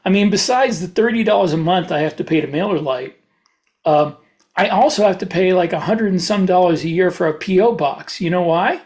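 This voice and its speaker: High-pitched man in casual podcast chat. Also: brisk, darkish tone. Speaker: podcast co-host and writer.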